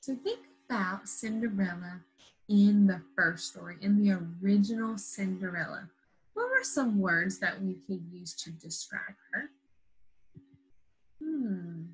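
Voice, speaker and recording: A woman teacher leading an interactive lesson for young children after a read-aloud, in simple, patient phrases with questions and drawn-out key words. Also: medium pitch, very animated, rough recording. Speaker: primary school teacher.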